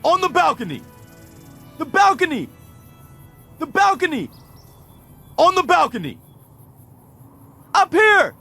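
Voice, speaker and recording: An actor playing a man who shouts urgent calls from a balcony in a game. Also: extremely high pitch, wildly swooping pitch, slow pace, vocal fry, pauses often, faint music behind, exaggerated voice. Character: man frantically calling from a balcony.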